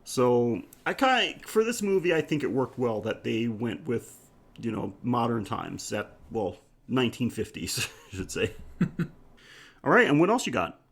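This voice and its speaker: A man co-hosting a podcast, discussing film casually and haltingly as he thinks aloud. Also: mid-range voice, big pitch swings, creaky, a bit bright, breathy edge. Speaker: podcast co-host.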